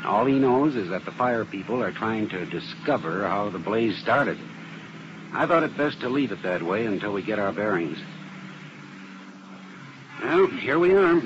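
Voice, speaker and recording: A steady arson expert, lowish voice, old radio hiss and muffle. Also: male voice, measured pace, long pauses. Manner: matter-of-fact and explanatory. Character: methodical male fire investigation specialist. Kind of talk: 1950s radio drama acting. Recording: muffled, hissy vintage radio broadcast.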